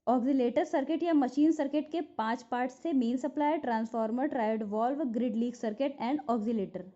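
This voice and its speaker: A woman's voice: high voice, a little fast, mellow. A woman with an Indian accent giving an instructional lecture from notes, explaining and repeating key points to be memorized. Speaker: physiotherapy teacher. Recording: dull lo-fi recording.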